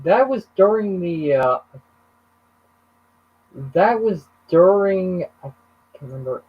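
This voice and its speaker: Husky-voiced man over a tinny line. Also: high-pitched, animated, slow. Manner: hesitant, trails off mid-sentence. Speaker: live stream host. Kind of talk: casual chat. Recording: muffled phone-like call audio.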